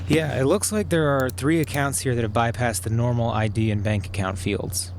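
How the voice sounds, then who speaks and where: A man's voice: medium pitch, moderate pace, close to an everyday voice. Matter-of-fact male hacker played by an actor, background noise. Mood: focused. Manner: matter-of-fact, dry, controlled. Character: clever, calm male hacker plotting revenge. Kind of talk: naturalistic audio drama dialogue.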